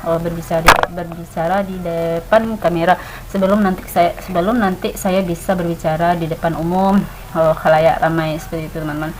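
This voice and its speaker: Hesitant young woman vlogging, lowish voice. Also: a little mellow, a little hiss or hum, rough recording. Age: 20s. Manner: hesitant, many "eh" fillers and restarts. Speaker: vlogger, stay-at-home mother. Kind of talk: casual talk to camera, practicing storytelling.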